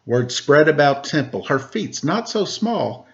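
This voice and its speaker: A man, a teacher, reading a rhyming picture book aloud to children in a lively way and asking them questions. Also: on the high side, unhurried, lo-fi sound, a little hiss or hum. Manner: lively rhyming delivery with rising questions to listeners. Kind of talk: storybook read-aloud to children.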